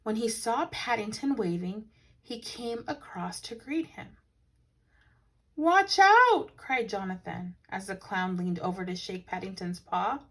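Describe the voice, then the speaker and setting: A woman reading a children's picture book aloud as a teacher, with animated, dramatic emphasis. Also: medium pitch, measured pace, husky, low-quality recording. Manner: animated, dramatic emphasis with hushed asides to listeners.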